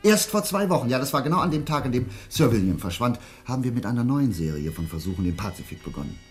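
A man playing an agitated, earnest scientist in a German radio drama, speaking urgently and haltingly. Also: medium pitch, sing-song, slightly rough, faint music behind. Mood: alarmed. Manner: hesitant, halting, then urgent. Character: agitated, earnest male scientist, doubting officials.

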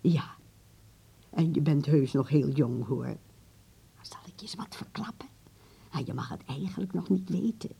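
Radio drama: frail, wise grandmother, very breathy and mellow, unhurried, tenderly firm. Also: low-pitched, expressive, full character voice. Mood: tender, confiding. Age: elderly. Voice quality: husky, breathy, creaky old voice. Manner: slow, deliberate, with repeated words.

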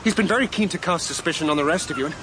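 A man's voice: fairly high pitch, background noise, close to an everyday voice. Urgent doctor playing detective, acted by a man. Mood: dawning alarm. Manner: urgent, halting, questioning. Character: earnest male doctor turned amateur investigator. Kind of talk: radio drama acting.

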